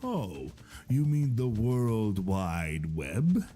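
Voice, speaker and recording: A man voicing a jovial, mysterious old male book salesman, speaking slowly and theatrically in a grand, drawn-out way. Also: fairly low pitch, big pitch swings, dark tone, exaggerated voice. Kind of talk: storytelling narration.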